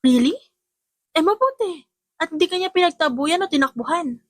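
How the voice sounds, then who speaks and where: A woman's voice: very high-pitched, expressive, normal speed, dry recording, studio quality, voice pushed up. A woman voicing a supportive, sensible young female friend in an audiobook, earnest and persuasive. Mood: reassuring. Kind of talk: audiobook character acting.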